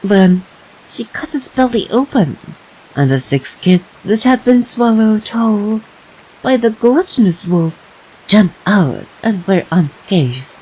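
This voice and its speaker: A woman streamer gently narrating fairytales for bedtime in an unhurried way. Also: medium pitch, sing-song, husky, low-quality recording.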